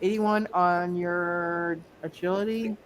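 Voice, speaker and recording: A game master running a live tabletop superhero game, speaking haltingly and often repeating words and phrases. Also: mid-range voice, fairly even intonation. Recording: noticeable background noise.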